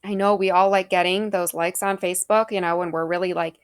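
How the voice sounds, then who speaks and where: A woman's voice: lowish voice, steady tone, brisk, no echo. Young woman doing a devotional talk, earnest and conversational, kinda reflective, close dry sound. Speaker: speaker giving a faith reflection.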